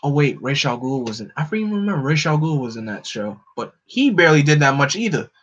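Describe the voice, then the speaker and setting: A fairly high-voiced man holds forth on a casual home stream, opinionated and animated, his voice leaping up whenever he gets excited. The audio is dull and lo-fi. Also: quick pace, slight background noise. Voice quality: no regional accent. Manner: animated, opinionated, jumps up in pitch when excited. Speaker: streamer host. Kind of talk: casual chat to a live audience.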